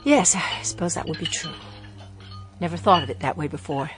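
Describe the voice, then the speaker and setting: A young actress playing a rebellious, impassioned daughter in a radio drama. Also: female voice, deep voice, brisk, over music, lightly acted. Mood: earnest. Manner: emotional, defiant, impassioned.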